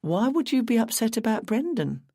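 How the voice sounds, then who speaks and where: A woman voicing a steady male police detective who asks plain, measured questions. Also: on the high side, no room sound, studio quality, pitched above the performer's own voice.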